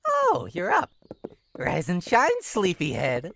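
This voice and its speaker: A woman's voice: on the low side, wildly swooping pitch, slow pace, fairly bright tone, slight background noise, exaggerated voice. A woman voicing a playful, teasing and sinister clown-like jester demon in a horror visual novel game, with theatrical sing-song delivery. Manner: theatrical sing-song teasing, sudden swoops into breathy menace.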